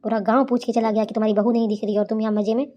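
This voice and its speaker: A woman's voice: fairly high pitch, little pitch movement, very fast, dull audio, slight background noise, natural voice. A woman voicing a harried young village husband in a cartoon, beseeching and exasperated. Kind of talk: cartoon character dialogue.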